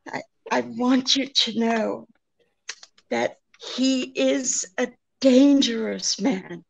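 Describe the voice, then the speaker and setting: Actress as slow, high-voiced anxious radio damsel. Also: female voice, vocal fry, heavily acted. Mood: fearful, pleading. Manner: hesitant, halting, breathlessly earnest. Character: Miss Wonderly, anxious, pleading, genteel female client. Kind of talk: old-time radio drama acting. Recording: dull lo-fi radio-play recording.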